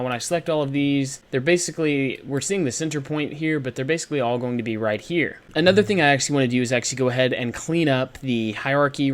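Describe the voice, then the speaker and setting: A man hosting a screencast software tutorial, explaining things step by step in an informal, casual way. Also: mid-range voice, clean tone. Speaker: tutorial host.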